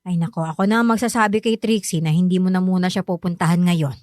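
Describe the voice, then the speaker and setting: A woman's voice: on the low side, steady tone, quick pace, darkish tone, no room sound, studio quality, natural voice. An actress playing a middle-aged mother in a radio drama, firm, coaxing and insistent.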